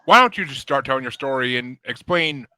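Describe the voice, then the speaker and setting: Bright-voiced easygoing podcast host man. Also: mid-range voice, animated, brisk, no echo. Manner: easygoing, chatty. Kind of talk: live podcast hosting.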